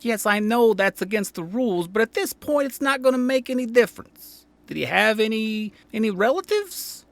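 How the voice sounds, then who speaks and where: Harried, curt mayor played by a woman, animated delivery. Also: on the high side, normal speed, few pauses, no room sound. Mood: urgent, irritated. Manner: curt, exasperated, weary. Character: harried, cynical, short-tempered city mayor under pressure. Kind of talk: audio drama acting.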